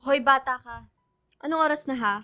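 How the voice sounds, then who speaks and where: A woman's voice: high-pitched, normal speed, bright, forceful tone. A woman playing a strict, scolding Filipino mother in a radio drama, stern and nagging. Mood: stern, suspicious. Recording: dry studio with faint music.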